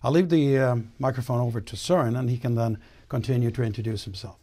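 An older man, a company board chairman, giving a measured, formal address with some hesitations, recorded on a lapel mic in a studio. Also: mid-range voice, warm tone. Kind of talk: prepared corporate address. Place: studio against a dark backdrop.

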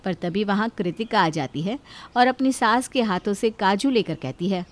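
A woman's voice: mid-range voice, moderate pace, dry recording. A woman narrator telling a cartoon story in scripted storytelling style.

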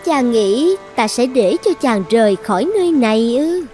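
A high-pitched young enchantress played by a woman rules her island in a fairy-tale cartoon. She is possessive and sure of herself, sliding between sweet coaxing and threats, with music behind her. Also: expressive, moderate pace, lightly acted. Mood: threatening. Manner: commanding, self-assured.

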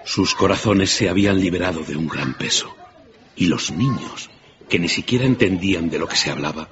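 An actor as the grave narrator of a radio drama ghost tale, telling it in a measured, solemn way. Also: male voice, deep voice, animated, a crowd in the background. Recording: muffled, with clear ambient noise.

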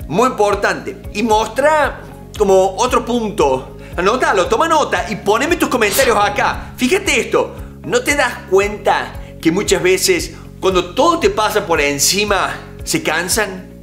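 A man, coaching viewers on negotiation straight to camera in an emphatic, animated way. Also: sits high, unhurried, faint music behind. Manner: emphatic, animated, gestures with hands. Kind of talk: direct-to-camera coaching talk. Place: home office with bookshelves and art.

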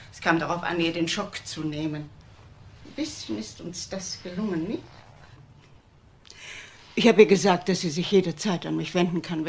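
A woman's voice: lowish voice, lightly acted. A woman playing a composed, courteous grandmother, a well-to-do lady in a German crime radio play. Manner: polite, composed, talkative.

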